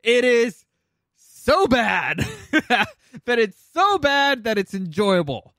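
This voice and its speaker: A man's voice: very high voice, very animated, slow pace, a bit bright, no echo, studio quality. A man co-hosting a casual talk show, giving blunt opinions with some swearing and stammered restarts as he runs through a movie ranking. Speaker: podcast co-host.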